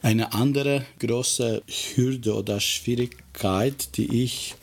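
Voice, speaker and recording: Slow, flat-voiced man with an Albanian accent. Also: mid-range voice. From Kosovo. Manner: slow, halting, searching for words. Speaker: teacher. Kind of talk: interview answers.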